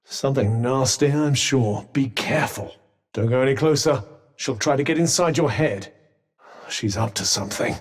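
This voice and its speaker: High-pitched, witty British magical companion. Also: male voice, lively intonation, normal speed, far from the mic. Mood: grave, cautioning. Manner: sardonic, eloquent, urgent coaching. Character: talking magic cuff, dry witty male battle companion. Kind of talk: video game companion voice lines.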